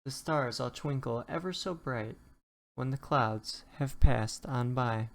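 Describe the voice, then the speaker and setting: Slow-paced storybook reader. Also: medium pitch, vocal fry. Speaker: children's book reader. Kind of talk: scripted storybook narration, rhyming read-aloud.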